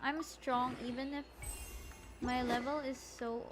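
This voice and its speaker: A woman's voice: high voice, steady tone, takes it slowly, over music. A woman giving casual, playfully dramatic commentary as she streams a game. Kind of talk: casual live gaming commentary.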